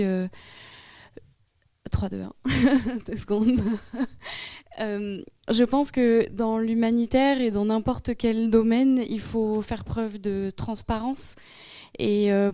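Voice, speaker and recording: A woman's voice: mid-range voice, mellow, clear, resonant tone, no room sound. A young French woman speaking in a classroom debate, hesitant with many fillers. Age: young adult. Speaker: student in a debate.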